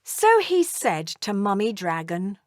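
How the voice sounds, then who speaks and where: A woman narrating a children's story aloud in an expressive, deliberate storytelling manner. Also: medium pitch, no room sound, studio quality.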